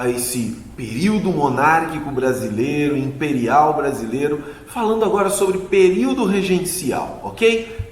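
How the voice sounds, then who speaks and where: A man with a Brazilian Portuguese accent gives a relaxed lesson, tossing questions and little tags back at his students. His voice sits a touch high and swings widely in pitch. The sound is roomy, with a long reverb trailing after his words. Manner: chatty, asks questions back, frequent "né?" tags. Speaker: history teacher. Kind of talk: casual video lesson. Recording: echoey room with long reverb.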